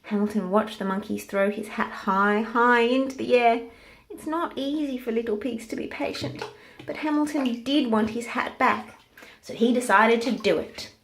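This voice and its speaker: Female storybook narrator, higher-pitched, reading aloud for young children. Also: moderate pace, slight background noise.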